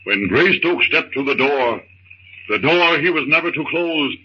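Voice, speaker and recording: An actor playing a solemn, declamatory narrator of a jungle adventure in a 1930s radio drama. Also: male voice, on the high side, lively intonation, a little slow. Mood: urgent. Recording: noisy, dull scratchy 1930s radio recording.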